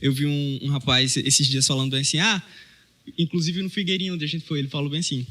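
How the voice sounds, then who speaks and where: A man with a Brazilian Portuguese accent, a mission trainee talking earnestly on a podcast, often pausing and restarting his sentences. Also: fairly high pitch, flat delivery, pushed and bright. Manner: earnest, hesitant with many fillers and restarts. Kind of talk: casual podcast chat.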